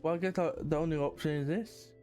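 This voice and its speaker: A man's voice: on the high side, fairly even intonation, fast, dark tone. A man doing casual game commentary, thinking aloud in a hesitant, musing way. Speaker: gaming streamer. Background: game music.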